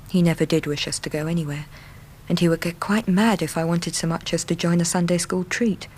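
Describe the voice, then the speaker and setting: An actress plays a young Victorian working woman in an English radio drama. Her voice sits fairly low, and she talks in an earnest, chatty, plain-spoken way. Faint noise lies behind her. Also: a little mellow, close to an everyday voice, poor audio. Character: earnest, talkative young female Victorian typist seeking help.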